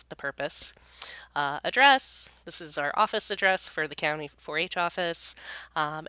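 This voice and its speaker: Young woman, low pitch, bright clear tone, congested, chatty tutorial. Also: no room sound. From California, US. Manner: friendly and chatty, many ums and uhs, small laughs. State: congested, sniffly. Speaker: county youth program staff. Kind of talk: casual screen-recording tutorial.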